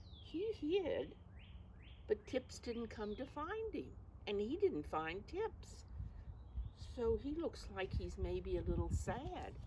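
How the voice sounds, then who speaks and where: Teacher lady doing storytime for little kids, real slow n expressive, simple words, bit of noise behind. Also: fairly high pitch, low-quality recording. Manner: slow, simple phrasing that addresses small children. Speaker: preschool teacher reading a picture book aloud. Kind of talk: read-aloud story for young children with questions to listeners.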